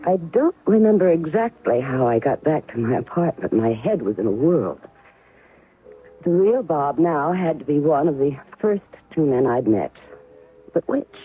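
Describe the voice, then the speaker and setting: An actress playing a shrewd woman caught up in spy intrigue, asking quick, sharp questions and narrating her own story. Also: low-pitched, sing-song. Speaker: narrator and heroine. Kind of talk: 1970s radio drama acting. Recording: narrow 1970s radio broadcast, faint music.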